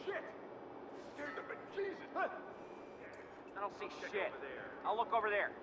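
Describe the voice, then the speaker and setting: Game henchman, high voice, clipped callouts, jumpy, echoey, loud gunfire. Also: very animated, measured pace, very noisy. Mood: alert, searching. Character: jumpy rough male guard searching for an intruder. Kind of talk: video game combat barks.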